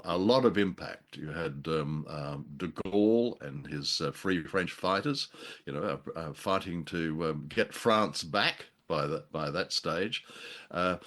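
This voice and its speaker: Low-pitched man, halting podcast chat. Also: fairly bright tone. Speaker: podcast co-host. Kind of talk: conversational film history discussion.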